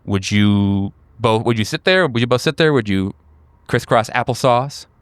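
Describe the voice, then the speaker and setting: A man narrating a tabletop horror game as game master, describing scenes with halting pauses mid-sentence. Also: mid-range voice, lively intonation, clear, resonant tone, no echo.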